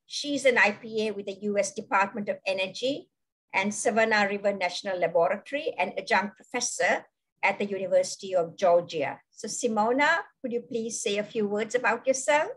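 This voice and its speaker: A woman's voice: medium pitch, brisk, fairly bright tone. A woman moderating an online panel, introducing the next speaker politely.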